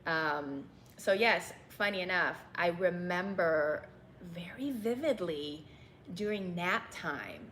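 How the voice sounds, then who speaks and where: A woman's voice: fairly low pitch, expressive, takes it slowly, fairly bright tone, slight background noise. A woman from Texas raised in Bangkok, a restaurant owner telling her story in a candid interview, with many ums and easy laughs. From Texas and Bangkok, Thailand. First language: Thai.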